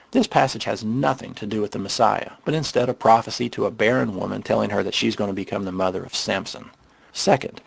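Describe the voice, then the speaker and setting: A man delivering casual, irreverent scripted commentary in a sarcastic, mocking way, with a gravelly, husky voice. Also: fairly low pitch, no echo. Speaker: narrator of a skeptical commentary on the Bible.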